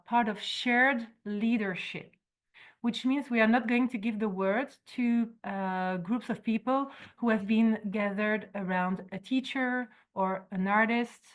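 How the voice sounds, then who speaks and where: A woman from Belgium, calmly explaining ideas at an online forum. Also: medium pitch. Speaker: forum organizer. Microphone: video call.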